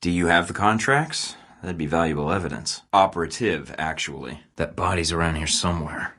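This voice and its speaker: A cocky secret agent for a game, deep low voice, dry sarcastic one-liners. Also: male voice, brisk, bright, forceful tone. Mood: wry. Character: wisecracking male secret agent, cool under pressure. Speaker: protagonist of a spy game. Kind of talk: video game voice acting.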